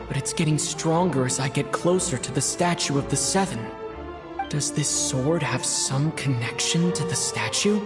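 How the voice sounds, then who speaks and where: An actor playing a thoughtful, determined young adventurer in a video game, musing to himself in a measured way. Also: male voice, mid-range voice, close to an everyday voice.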